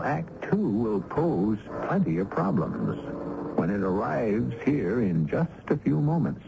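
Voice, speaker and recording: A man's voice: on the low side, creaky. A man hosting a radio drama with scripted narration, knowing and theatrical. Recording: muffled, noisy old radio recording.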